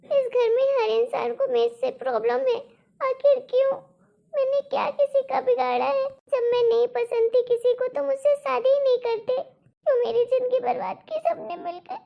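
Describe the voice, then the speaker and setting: A woman acts out a young, long-suffering wife in a cartoon melodrama. Her voice is extremely high, gentle and plaintive, with earnest phrasing. The audio is slightly dull. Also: creaky, exaggerated voice. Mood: despairing. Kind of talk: Hindi cartoon family melodrama.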